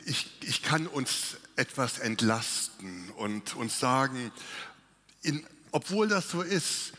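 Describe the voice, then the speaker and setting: A man preaching a sermon with a cold, speaking slowly and reflectively with pauses. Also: medium pitch, expressive, sharp tone. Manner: slow, reflective, with pauses and restarts. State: has a cold, coughing. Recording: long hall reverb.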